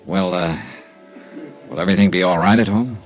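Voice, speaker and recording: An actor as an easygoing, deep-voiced radio detective. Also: male voice, moderate pace, muffled recording, faint music behind, natural voice. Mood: friendly, solicitous. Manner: easygoing, solicitous, conversational. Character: affable, wisecracking freelance insurance investigator, protective of strangers. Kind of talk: 1950s radio detective drama acting with first-person narration.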